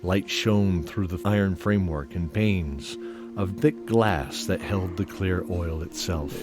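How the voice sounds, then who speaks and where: Deep-voiced male storyteller over music. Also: slow. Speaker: narrator. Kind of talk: scripted dramatic storytelling narration.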